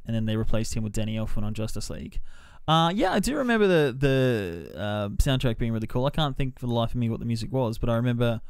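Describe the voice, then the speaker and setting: A man's voice: medium pitch, big pitch swings, fast talker, creaky, few pauses, smooth, no room sound, studio quality. A man giving a casual film review on a podcast, talking in an informal, conversational way.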